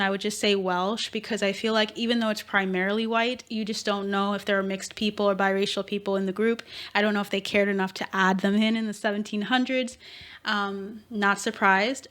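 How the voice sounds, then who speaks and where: A woman talks through an intuitive reading in a relaxed, meandering way, stopping often to correct herself and add fillers. Her voice sits at a middle pitch for a woman. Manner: rambling, thinks aloud with fillers and self-corrections. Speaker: intuitive reader. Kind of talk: tarot-style intuitive reading and history talk.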